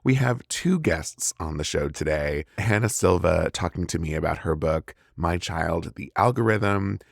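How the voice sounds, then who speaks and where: Very deep American man hosting a podcast. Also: unhurried, gravelly, no room sound, studio quality. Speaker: podcast host. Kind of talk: scripted podcast intro and casual chat.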